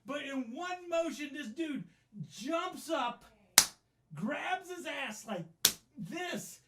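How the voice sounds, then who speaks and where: A middle-aged American man casually telling a comic story in a rambling, profane, animated way. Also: very high-pitched, extremely slow, heavy background noise. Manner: profane, animated, acts out his remarks. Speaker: storyteller, host.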